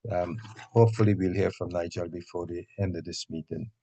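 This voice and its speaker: A man chairs a political meeting. His voice sits on the low side and barely moves in pitch. He speaks off the cuff, feeling his way through phrases in a measured, halting manner. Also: fairly even intonation, warm tone, highs cut off. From Guyana. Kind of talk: extemporaneous political meeting remarks.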